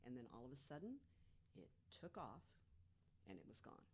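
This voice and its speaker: A woman in her mid sixties with a very low-pitched voice, speaking as a podcast panelist. She tells her stories in a casual, conversational way. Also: sing-song, normal speed, a little mellow, slight background noise. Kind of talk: casual personal storytelling.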